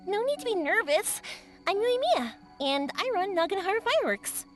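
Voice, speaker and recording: Bubbly, very high-pitched young fireworks maker. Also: female voice, very animated, normal speed. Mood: cheerful, welcoming. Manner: cheerful, welcoming. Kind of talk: English game dub acting. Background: faint music.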